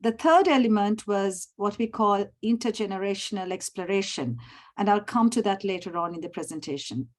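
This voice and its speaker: A middle-aged woman from Sri Lanka, giving a formal prepared presentation over a video call. She is measured and often clears her throat. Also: mid-range voice, lively intonation. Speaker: summit presenter.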